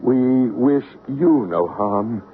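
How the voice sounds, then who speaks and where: A psychic medium who patiently coaxes a spirit to speak in a radio drama. His voice is calm and even, at a middling pitch. The broadcast sounds tinny and narrow, with faint hiss. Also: drawn-out words. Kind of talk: 1970s radio drama acting.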